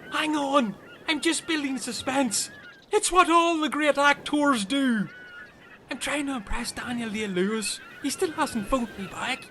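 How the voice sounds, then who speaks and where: A man's voice: extremely high pitch, measured pace, bright, forceful tone, a little hiss or hum, full character voice. A man playing a dim, chatty, earnest Irish castaway in a comedy radio play, rambling and taking things literally.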